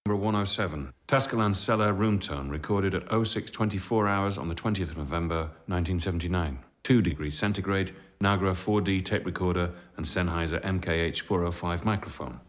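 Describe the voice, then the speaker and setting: A man's voice: deep voice, a little fast, few pauses, slight background noise, natural voice. An actor playing a tired, blunt male sound technician, reading out tape logs and pushing back against his boss in a radio drama. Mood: dry. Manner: flat, dry logging voice that turns exasperated. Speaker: sound technician logging tapes.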